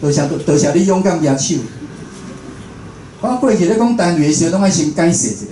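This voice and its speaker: A man's voice: a little high, lively intonation, fairly quick, warm tone. A Taiwanese man, a radio host, giving an informal, conversational public talk. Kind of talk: informal public lecture to an audience. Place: indoor talk venue with a live audience. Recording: echoey room, clear background noise.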